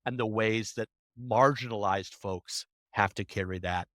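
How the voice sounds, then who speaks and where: Bright punchy voice, animated emphatic guest, dry close sound. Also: mid-range voice, no echo. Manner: animated, emphatic, quick bursts. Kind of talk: conversational interview.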